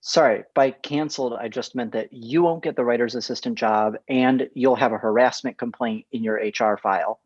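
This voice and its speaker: An actor at a comedy table read playing a passive-aggressive office boss, hesitant, mild and faintly condescending. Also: male voice, mid-range voice, normal speed, few pauses, darkish tone, no echo, lightly acted. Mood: hesitant, placating. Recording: dull lo-fi dry microphone.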